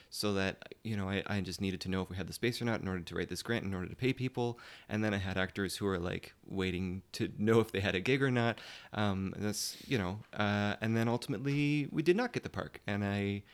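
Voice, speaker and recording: A man with a smooth, clear, fairly low voice speaks as a playwright on a panel. His delivery is measured and conciliatory, broken by pauses between short phrases. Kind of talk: casual panel discussion.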